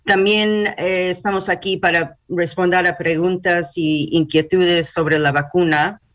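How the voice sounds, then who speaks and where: Slow, halting bilingual woman in an interview. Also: lowish voice, fairly even intonation, fairly bright tone. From New York, United States. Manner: halting, searching for words in Spanish, slips into English words. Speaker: hospital public relations manager, interview guest. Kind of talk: interview answers.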